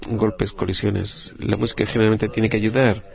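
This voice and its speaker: A man with a Latin American Spanish accent interpreting a group discussion live, in halting, phrase-by-phrase delivery. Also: lowish voice, flat delivery, creaky, muffled recording.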